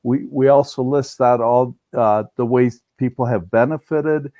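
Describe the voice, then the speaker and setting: A man's voice: mid-range voice, unhurried, no echo, lo-fi sound. An older man, speaking conversationally and earnestly as an interview guest, often restarting his sentences. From Romania-born, raised in Cleveland, US. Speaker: interview guest, business founder.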